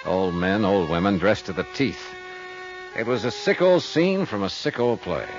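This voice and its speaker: An actor playing a dry, world-weary private investigator with terse, wry delivery in a 1950s radio detective drama. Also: male voice, fairly low pitch, animated, normal speed. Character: dry, hard-boiled male private investigator narrating his case. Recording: muffled old 1950s radio recording, music behind.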